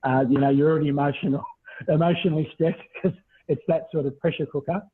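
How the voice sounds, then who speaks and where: A man's voice: a little high, fairly even intonation, fast, slight background noise. A middle-aged Australian man giving a conversational webinar presentation as a property buyers agent over a video call. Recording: thin, phone-like video call audio.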